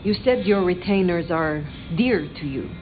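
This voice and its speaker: A woman portrays an elder vampire sheriff from a video game, formal and direct. Her voice sits a little low, with deliberate, archaic phrasing and unhurried authority. The audio is a touch dull, with faint music beneath. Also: lively intonation, lightly acted. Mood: candid. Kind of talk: video game dramatic dialogue.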